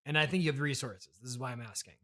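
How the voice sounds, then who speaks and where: A man's voice: mid-range voice, rapid-fire, a bit bright, close to an everyday voice. A man playing the spoiled, entitled streamer son of a trillionaire in an improvised comedy podcast, whiny, blunt and demanding. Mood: scheming, demanding.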